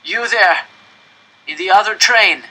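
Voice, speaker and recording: Man acting a desperate prisoner calling out, high and forceful. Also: unhurried, heavily acted, poor audio. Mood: urgent calling. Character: desperate male prisoner shouting between trains. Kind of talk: audiobook character voice.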